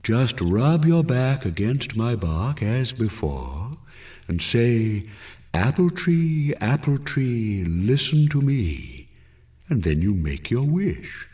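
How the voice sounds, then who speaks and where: Man voicing a slow, deep-voiced magic apple tree. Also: very animated, darkish tone, far from the mic. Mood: solemn. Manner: slow, deliberate, correcting.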